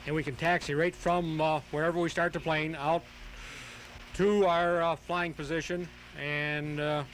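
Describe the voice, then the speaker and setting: A man being interviewed on radio as a hobbyist, explaining things step by step in a halting, methodical way. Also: on the high side, flat delivery, a bit bright. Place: outdoors at a flying field. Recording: noisy home tape of outdoor radio broadcast.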